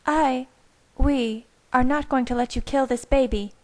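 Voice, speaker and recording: A woman's voice: on the high side, moderate pace, voice pushed up, lightly acted. A woman voicing a fierce, protective young woman who snaps and spits her words in defiance. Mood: defiant. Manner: spitting, snapping defiance.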